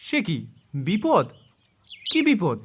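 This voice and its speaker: High-pitched, friendly village lad. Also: male voice, wildly swooping pitch, takes it slowly, a bit bright, slight background noise, full character voice. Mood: curious. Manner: inquisitive, reassuring. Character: helpful, friendly young village pal.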